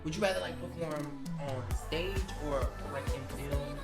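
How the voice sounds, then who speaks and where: A woman co-hosting a casual interview, giggly with lively asides. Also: low voice, normal speed, music in the background. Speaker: co-host.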